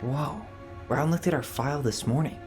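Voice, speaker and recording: A man's voice: medium pitch, normal speed, lightly acted. An actor playing a sardonic, determined and impatient young man in naturalistic video game dialogue. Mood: puzzled, intrigued. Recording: music behind the voice.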